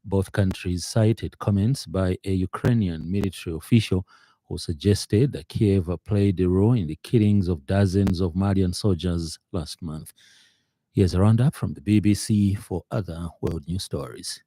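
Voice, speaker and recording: Male radio newsreader, Zambian accent, deep and very dark tone, dry studio sound. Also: moderate pace, no room sound. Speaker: radio news presenter. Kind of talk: scripted news bulletin.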